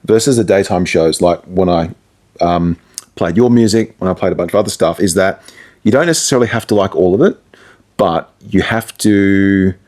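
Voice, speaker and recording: A man's voice: sits low, normal speed, a little mellow. A middle-aged man, a radio presenter, giving casual, conversational advice in an interview.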